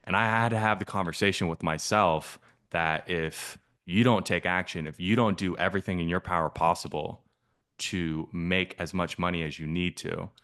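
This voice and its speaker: A man's voice: low-pitched, a bit bright. A man coaching on a sales call, asking probing, persistent questions in a casual way.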